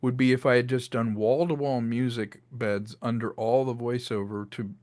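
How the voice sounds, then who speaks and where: A middle-aged man, a director talking as a guest in a casual interview, animated and fond of acting out quotes. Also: medium pitch, normal speed.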